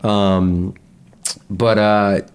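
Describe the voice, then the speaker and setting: Chill guy on a podcast, lowish voice, laughs a lot, lots of "you know". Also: extremely slow, clear, resonant tone. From United States. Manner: relaxed, laughs often, many fillers. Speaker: guest. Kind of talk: casual podcast chat.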